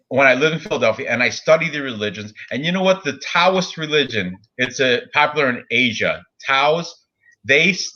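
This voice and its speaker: Guy in his 40s chatting fight picks, rambly, lots of ums and likes, bright voice. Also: medium pitch, muffled recording. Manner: rambling, laughs often, lots of fillers. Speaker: podcast host. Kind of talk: casual sports betting chat.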